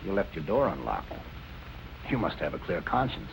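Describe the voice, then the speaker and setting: An actor playing a calm police captain in 1940s film noir style, questioning in a measured, politely insistent way. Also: male voice, low-pitched, creaky, a little hiss or hum, exaggerated voice, rough recording.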